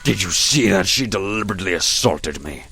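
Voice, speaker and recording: A man playing a pompous, quarrelsome older village mayor in a comedy radio play, with a gravelly, husky voice and blustering indignation. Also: low voice, lively intonation, brisk, bright, forceful tone, dry recording, heavily acted. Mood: indignant.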